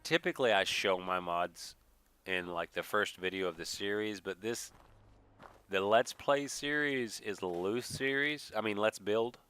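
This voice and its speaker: A man giving casual, rambling let's play commentary, thinking aloud at an unhurried pace, slightly nasal with a mild sniffle. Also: on the low side, bright, forceful tone, smooth. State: mild sniffle from a recent cold. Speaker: gaming video creator, player.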